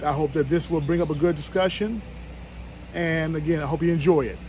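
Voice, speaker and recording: A man hosts the show and opens it with a plain, sincere introduction. His voice sits a little high for a man, and he pauses now and then. A faint noise runs underneath. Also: fairly even intonation, darkish tone. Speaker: host.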